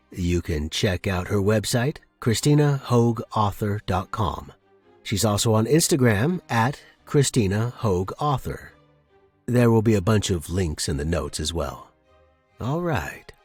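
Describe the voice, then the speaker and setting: A man with a fairly low, husky voice works as a fiction podcast narrator and host. His delivery is that of expressive audiobook storytelling. The sound is very dry, with no room around it. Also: measured pace, no echo, soft background music.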